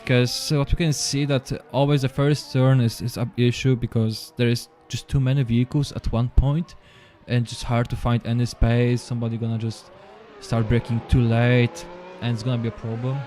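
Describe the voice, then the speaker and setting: A man's voice: mid-range voice, level tone, mellow, faint music behind. A man whose first language is Polish, commentating a sim racing session live, often repeating words and restarting sentences, with non-native English. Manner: halting, repeats words, apologetic. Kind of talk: live esports race commentary.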